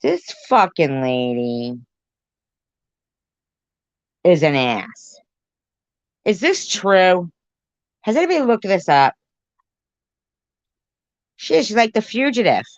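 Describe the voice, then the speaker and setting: A woman's voice: fairly low pitch, very animated, slow, long pauses, no room sound. A woman commentary host reacting to a clip in a blunt, sarcastic, profane way. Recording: dull lo-fi recording.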